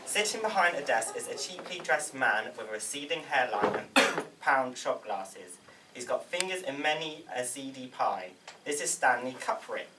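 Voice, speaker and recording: A man's voice: medium pitch, lively intonation, normal speed, music in the background. A man reading script stage directions aloud, stumbling a little over words. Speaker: stage directions reader at a table read. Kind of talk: read-aloud script narration. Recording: distant mic, faint room noise.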